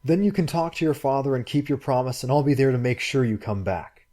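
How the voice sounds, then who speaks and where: A man voicing a frail, fanatic young woman who talks in a halting, wailing way. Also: medium pitch, lively intonation, warm tone, pitched above the performer's own voice, natural voice. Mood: eager.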